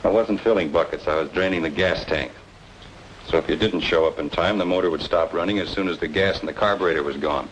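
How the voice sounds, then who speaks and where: An actor plays a cool, secretive man in a film noir radio play. His voice is low, his manner wry and clipped. It is an old radio recording. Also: quick pace, close to an everyday voice, poor audio. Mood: tense, resolute. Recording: old radio drama, background noise.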